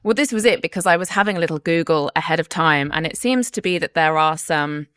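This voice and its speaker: A woman with a notably low voice hosts a podcast interview, asking questions in a measured, friendly way. The sound is dry and close. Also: fairly bright tone, smooth, no room sound. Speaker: podcast host.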